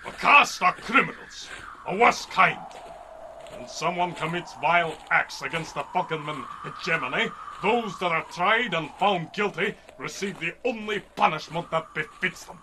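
Man acting a grandiose, high-pitched falcon-man warrior. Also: animated, moderate pace, noisy background, lightly acted. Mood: grand, proud. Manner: grandiose, declamatory. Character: boisterous proud winged falcon-man warrior leader. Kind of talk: old-time radio adventure serial acting.

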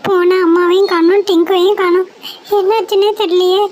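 A fretful young sparrow chick in a cartoon, voiced by a woman, very high-pitched and loud as she worries over her missing sister. A faint hiss sits under the recording. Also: little pitch movement, fairly quick. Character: anxious obedient girl sparrow chick. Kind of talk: cartoon voice acting.

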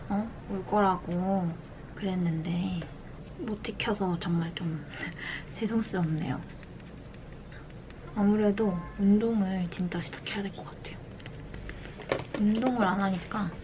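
A woman's voice: medium pitch, lo-fi sound. Young woman chatting casually, noisy room. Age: young adult. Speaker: lifestyle vlogger. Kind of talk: casual chat to camera during daily routine. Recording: clear background room noise.

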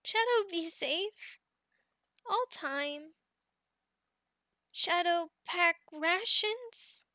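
A woman voicing a young adult female goblin in an ASMR roleplay, childlike, sweet, devoted and timid, speaking in short halting phrases of broken third-person speech. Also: extremely high pitch, animated, bright, forceful tone, dry recording, exaggerated voice. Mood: eager, proud.

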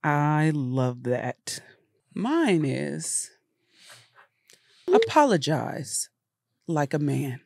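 Young female co-host, lowish lively voice, dry mic, playful. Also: drawn-out words, vocal fry, long pauses, dry recording. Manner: playful, laughs often, rhymes bars. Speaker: podcast co-host. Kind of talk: casual banter.

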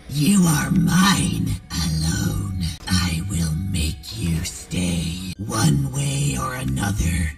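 Man playing a low, slow obsessive lover. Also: heavily acted. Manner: slow, clinging, intense. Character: possessive, obsessive lovesick villain, softly menacing. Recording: slightly distant mic under music.

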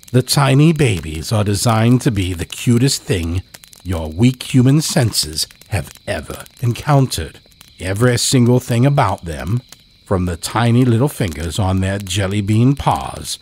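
A man telling a scripted horror story as a podcast host, in a measured, dramatic way. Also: lowish voice, darkish tone, slightly rough.

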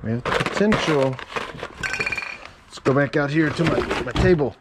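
A man giving casual running commentary as he digs through a storage unit, muttering to himself and cheering at good finds, recorded outdoors on a head-mounted camera. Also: a little high. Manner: unhurried, muttering to himself, upbeat when finding items. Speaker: reseller sorting a storage unit. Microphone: head-mounted camera, point of view. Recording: loud background noise.

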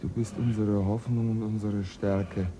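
A man's voice: fairly low pitch, flat delivery, unhurried, dark tone, a little hiss or hum, lightly acted. An actor playing a tired, exasperated crewman caring for a sick shipmate in a radio play. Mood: weary. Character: weary male crewman Mill, nursing a sick mate.

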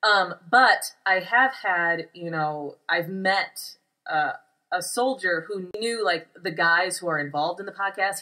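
A woman's voice: deep voice, pushed and bright, poor audio. A woman chatting informally as a co-host of a podcast. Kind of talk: casual chat.

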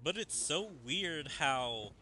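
Bright, lively male game streamer. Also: fairly high pitch, takes it slowly, faint music behind. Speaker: gaming streamer. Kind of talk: casual game commentary and read-aloud of dialogue.

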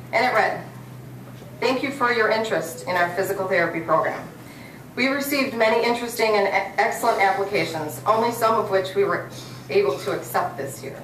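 A woman's voice: medium pitch, a bit bright. An American woman in her forties delivering a keynote commencement speech from a podium. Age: 40s. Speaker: keynote speaker. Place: hotel hall, at a podium on stage. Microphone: podium mic, captured by far audience camera. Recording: roomy, distant, noisy auditorium recording.

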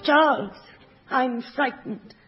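An actress playing a melodramatic, frightened posh heroine in a spoof old film, on a dull 1960s radio recording. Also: female voice, sits high, slow pace, music in the background. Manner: overwrought, gushing film-heroine delivery.

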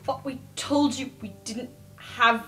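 A woman's voice: fairly high pitch, slow pace, a bit bright. A woman improvising in-character dialogue as a player in a tabletop game. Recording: music bed under the voice.

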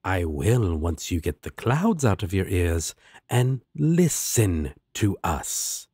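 A man's voice: low voice, a little mellow, husky, no room sound, studio quality, full character voice. A man voicing a sardonic, exasperated but protective demon roommate in a fantasy audio roleplay, blunt and sarcastic.

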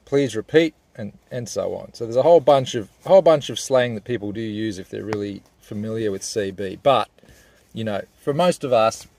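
A man's voice: mid-range voice, creaky, a little mellow. A man in his forties with an Australian accent, talking casually and unscripted as a laid-back, colloquial vlogger. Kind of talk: casual, unscripted vlog talk.

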